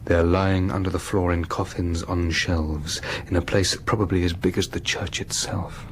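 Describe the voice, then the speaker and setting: A man's voice: sits low, flat delivery, normal speed, slight background noise, lightly acted. An actor playing an earnest, troubled English clergyman in a radio drama, with an urgent, conscientious manner. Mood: grave.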